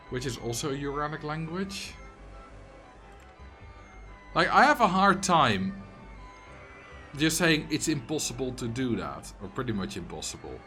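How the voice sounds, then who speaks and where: A man's voice: medium pitch, sharp tone. A man with a Dutch accent, a streamer chatting casually and answering chat messages while he plays a game. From Netherlands.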